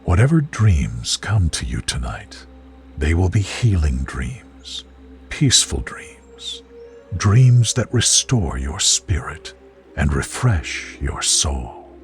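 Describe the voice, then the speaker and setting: Deep-voiced man, slow soothing sleep narration. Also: sing-song. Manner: soothing, gentle, measured delivery. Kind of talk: guided sleep visualization, scripted narration. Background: music behind the voice.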